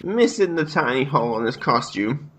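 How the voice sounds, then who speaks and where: A man's voice: mid-range voice, very animated, moderate pace, fairly bright tone. A young man hosting a let's play, joking crudely and reading dialogue aloud. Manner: irreverent, crude, laughing often.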